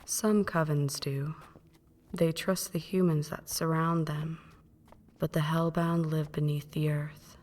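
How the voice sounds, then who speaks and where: A woman playing a possessive, menacing vampire mistress in an intimate roleplay, teasing and manipulative, often slipping into breathy whispers. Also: low-pitched, steady tone, slow, darkish tone. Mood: cool, controlling. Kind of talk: intimate ASMR roleplay monologue.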